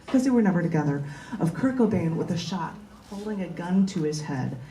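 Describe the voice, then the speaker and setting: A woman's voice: lowish voice, lively intonation, fairly quick, dark tone, a little hiss or hum. A woman poet at an open mic, reading her work and chatting casually. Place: large bookstore open mic, indoors. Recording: echoey room, distant microphone.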